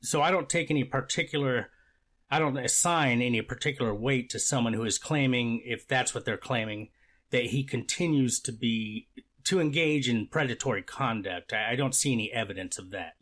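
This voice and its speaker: A man acting as a skeptical male judge presiding over a hearing. His mid-range voice is formal and deliberate, correcting itself as he reasons in a courtroom reading. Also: mid-range voice, a bit bright, lightly acted. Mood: musing, skeptical. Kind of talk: readers theater of a court transcript.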